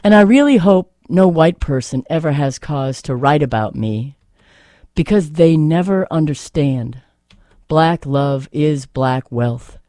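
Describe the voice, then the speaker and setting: A man with a fairly high, mellow voice hosts a poetry reading. He is reflective and casual, and tends to repeat a phrase for emphasis. The sound is dry, with hardly any room around him. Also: expressive, takes it slowly, no room sound. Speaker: host reading poems aloud.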